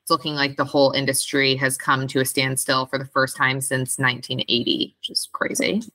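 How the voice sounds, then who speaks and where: A woman with a strikingly deep yet crisp-edged, bright voice co-hosts a podcast. She talks through the news casually and informally, recorded close and dry. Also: flat delivery, brisk, dry recording. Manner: informal, conversational. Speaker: podcast co-host.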